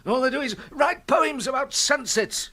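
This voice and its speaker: An actor playing a dyspeptic, henpecked middle-aged English husband who grumbles and groans meekly in a comic radio drama. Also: male voice, very high-pitched, moderate pace, bright, forceful tone, exaggerated voice. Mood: peevish moaning. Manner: complaining, groaning, meek before his wife.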